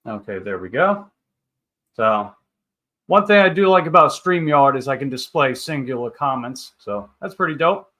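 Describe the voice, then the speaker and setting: Bright-voiced thirty-year-old man chatting casually. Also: medium pitch, animated, normal speed. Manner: rambling, upbeat banter with catchphrases. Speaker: livestream host. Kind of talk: casual livestream chat, reading and answering viewer comments.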